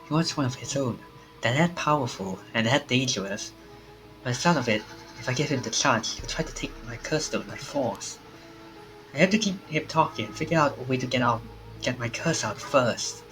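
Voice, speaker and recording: A man with a fairly deep voice reads a visual novel aloud for a stream. His pitch is average for a man. Game music plays clearly behind him. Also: animated, normal speed, poor audio.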